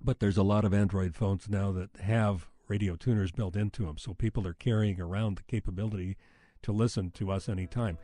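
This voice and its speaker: American male engineer interviewee, plain-spoken, low and very mellow, recorded dry and close. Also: steady tone, fairly quick, no room sound. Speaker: radio station chief engineer. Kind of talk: explanatory interview.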